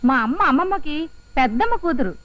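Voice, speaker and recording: An actress playing the bride's relative, explaining things briskly and earnestly in a film comedy. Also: female voice, high-pitched, very animated, a bit bright, muffled recording, soft background music.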